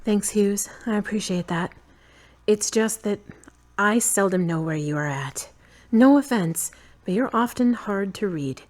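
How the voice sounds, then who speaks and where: A woman's voice: medium pitch, lively intonation, measured pace, a little mellow, lightly acted. A woman playing a tired, frank girlfriend who is fond but uneasy, in earnest, questioning audio drama dialogue.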